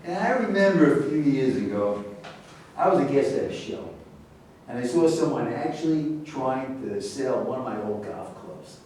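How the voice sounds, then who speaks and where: A man in a staged reading playing a measured, worldly former celebrity. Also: mid-range voice, lively intonation, a little mellow, close to an everyday voice. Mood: reminiscing. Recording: heavy room echo, far mic, faint hiss.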